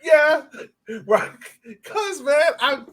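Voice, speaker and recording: A man co-hosting a soccer fan podcast, talking in a casual, excitable way. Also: very high voice, very slow, a crowd in the background. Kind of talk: casual sports podcast chat.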